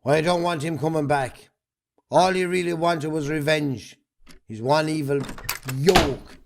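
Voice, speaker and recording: Actor as a high-voiced, bitter Irish farmer. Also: male voice, measured pace, noisy background. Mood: angry, accusing. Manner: gruff, blunt, combative. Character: bitter, bullying, possessive Irish farmer, middle-aged man. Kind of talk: stage drama acting.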